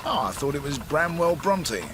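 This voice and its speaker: An actor playing a polite, reserved Victorian detective in a radio drama, terse and probing. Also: male voice, fairly high pitch, very animated, normal speed, background noise. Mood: inquisitive. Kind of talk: naturalistic radio drama acting.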